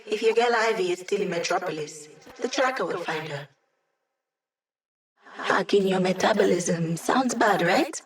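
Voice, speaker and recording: A woman's voice: medium pitch, measured pace, long pauses, close to an everyday voice. An actress playing a wry young female hacker who supports the team with dry, sarcastic quips in game voice lines. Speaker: video game character.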